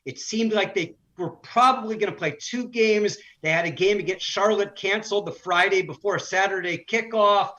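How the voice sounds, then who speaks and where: A man's voice: sits high, moderate pace, few pauses, sharp tone, muffled recording. A man who works as a sports broadcaster, giving conversational analysis as an interview guest.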